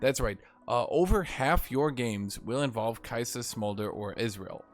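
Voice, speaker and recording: A man with a slightly hoarse voice hosting a gaming video, ranting with sarcastic, salty commentary. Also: medium pitch, a little slow, faint music behind.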